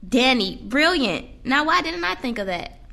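A curt care-home nurse played by an actress in a radio play. Her voice is high and sharp, with an impatient, scolding manner. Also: sing-song, normal speed, creaky, full character voice. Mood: impressed. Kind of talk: audio play acting.

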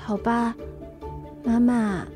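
An actress playing a caring, cheeky young daughter in a radio drama, by turns soothing and teasing. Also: female voice, a little high, steady tone, extremely slow, warm tone, soft background music. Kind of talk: Mandarin radio drama acting.

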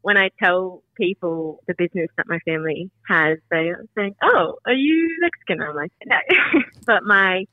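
A woman's voice: fairly low pitch, normal speed, no room sound, narrow, tinny audio. A woman, a podcast guest talking loosely and rambling with lots of "kind of" and "like". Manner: relaxed, rambling, says "kind of" and "like" a lot. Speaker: guest, marketing manager. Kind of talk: casual interview chat.

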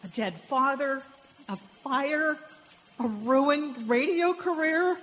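A woman's voice: high voice, slow, fairly bright tone. An actress playing a plucky, guilt-ridden single mother, earnest and melodramatic, in a live radio parody. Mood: despairing. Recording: live hall reverb, faint noise.

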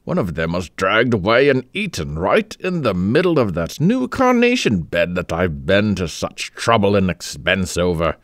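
A man reading a story aloud, voicing an irritable country gentleman in a blustering, indignant manner. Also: sits low, big pitch swings, moderate pace, few pauses, no room sound, studio quality.

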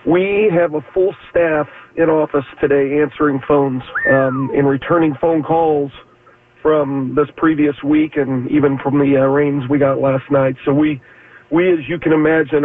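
A man's voice: on the high side, steady tone, measured pace. A man with a slightly scratchy voice from allergies, explaining basement repairs hesitantly as a guest expert. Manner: hesitant, many fillers. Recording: tinny phone line.

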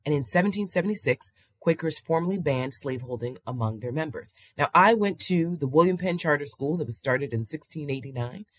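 A woman's voice: low-pitched, animated, fairly quick, few pauses, no echo. An American woman hosting an interview show, moving between read-out history and conversational questions. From United States.

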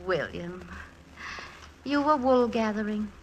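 An actress playing a poised, witty English stage star, in 1940s radio style. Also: female voice, medium pitch, expressive, slow pace, highs cut off, soft background music. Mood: affectionate, insistent. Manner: poised, gently teasing. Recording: vintage 1940s radio recording.

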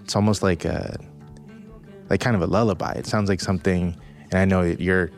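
Chill interviewer dude, really deep voice, faint music behind. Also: male voice, fairly even intonation, fairly quick. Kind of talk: casual interview.

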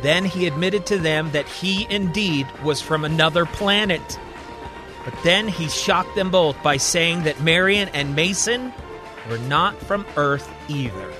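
A man narrating a scripted serial drama as a storyteller, deliberate and suspenseful. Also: a little high, over music.

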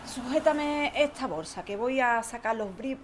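A woman's voice: fairly high pitch, expressive, measured pace, slight background noise. A woman playing a cheerful, chatty football-fan neighbour in an audio drama, effusive and folksy, with an Andalusian accent. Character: chatty, cheerful office-worker mother, keen football fan. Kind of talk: conversational audio fiction acting.

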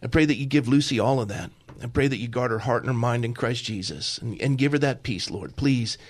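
A man's voice: medium pitch, fairly quick. Man, middle-aged, talk radio host, fervent, pastoral, repeats words in bursts.

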